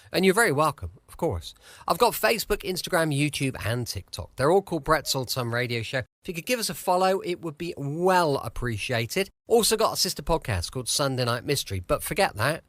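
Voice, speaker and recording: A man's voice: on the high side, a bit bright, no room sound. A British man hosting a podcast in a casual, chatty style, rambling into jokey asides. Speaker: podcast host. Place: home studio.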